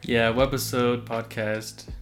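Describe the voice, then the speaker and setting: Relaxed young male co-host, hedges with "you know", casual podcast chat. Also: mid-range voice, takes it slowly, soft background music. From United States. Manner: easygoing, hedging with "you know" and "I guess". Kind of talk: casual religious podcast talk.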